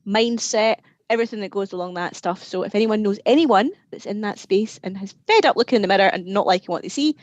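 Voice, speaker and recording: A woman introduces herself as a coach in a lively, chatty way. Her audio is dry and close. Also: mid-range voice, fast, hardly any pauses, no room sound. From Scotland. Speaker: transformational coach.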